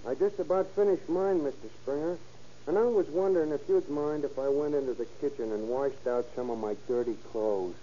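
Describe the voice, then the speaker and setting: An actor playing a slangy, sly small-time jailbird in a 1930s radio crime drama. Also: male voice, fairly high pitch, fairly quick, dark tone, close to an everyday voice. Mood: fawning. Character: crafty jail trusty turned escapee, a smooth-talking small-time crook. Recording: muffled, noisy old radio recording.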